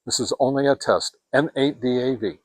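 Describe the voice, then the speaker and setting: Guy doing a casual ham radio gear review, kinda halting, medium pitch, dry sound no echo. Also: breathy edge. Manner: halting, hesitant delivery. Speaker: amateur radio product reviewer and host. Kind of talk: informal hands-on product review.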